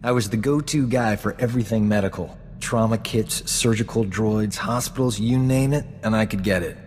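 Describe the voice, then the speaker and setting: A lowish-voiced man played by an actor, a self-satisfied rogue medic from a space adventure game. He is glib, flirty and full of himself, in long reverb. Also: moderate pace, a little mellow, a little hiss or hum, lightly acted. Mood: boastful. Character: cocky, flirtatious, boastful male rogue space doctor. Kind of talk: video game dialogue acting.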